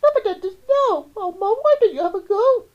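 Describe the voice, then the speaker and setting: A woman's voice: very high voice, expressive, fairly quick, muffled recording, pitched above the performer's own voice. A woman voicing a panicky little girl who shrieks and pleads in a theatrical verse story. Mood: pleading.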